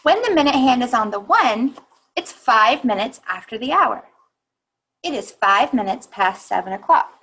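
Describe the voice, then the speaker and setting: A woman reading a children's picture book aloud like a teacher, pausing to think aloud and explain. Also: on the high side, expressive, a bit bright, slightly rough.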